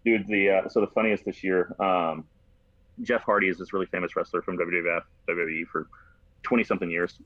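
An American man chatting casually and telling rambling, animated stories as a podcast host. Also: deep voice, fairly quick, no echo. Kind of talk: casual conversational storytelling. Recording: slightly dull lo-fi, dry close sound.

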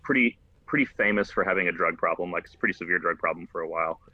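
An American man chatting casually and telling rambling, animated stories as a podcast host. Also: low-pitched, rapid-fire, no room sound. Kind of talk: casual conversational storytelling. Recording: slightly dull lo-fi, dry close sound.

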